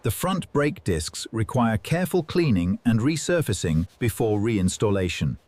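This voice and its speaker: A man's voice: fairly low pitch, very animated, normal speed, a little mellow. A man delivering scripted documentary-style narration in short, dramatic statements.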